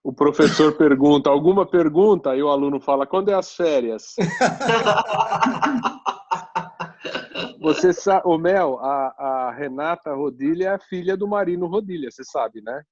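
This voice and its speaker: A man's voice: on the high side, animated, measured pace, long pauses. A man, a course teacher, joking and chatting with students and colleagues on an online class call. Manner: joking, laid-back. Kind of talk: casual chat before an online class. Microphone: video call. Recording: muffled video call audio.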